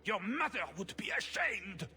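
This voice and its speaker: An actor voicing a haughty, petulant, outraged baron in a video game, sputtering and shouting insults. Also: male voice, high-pitched, sing-song, unhurried, a little hiss or hum, heavily acted.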